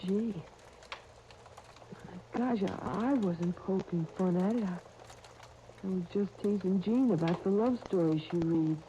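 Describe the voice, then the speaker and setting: A woman's voice: mid-range voice, unhurried, pauses often, mellow, lightly acted. A woman playing a young boy in a 1930s radio soap opera, boyish, blurting and a little sheepish. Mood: sheepish, apologetic. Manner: boyish, blurting, hesitant slangy talk. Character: young boy twin, scornful of romance, sheepish. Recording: dull, noisy 1939 radio disc recording.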